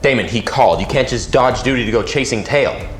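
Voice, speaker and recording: A man's voice: medium pitch, lively intonation, a little fast, a bit bright, natural voice. A young man acting a loyal, persuasive werewolf second-in-command in an audio drama, talking casually. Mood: concerned, chiding. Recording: faint music bed behind the voice.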